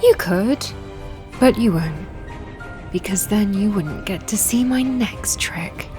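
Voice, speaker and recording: A woman playing a confident, defiant young princess in an audio drama, with a calm, controlled, assured delivery. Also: medium pitch, slow pace, over music. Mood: cool confidence.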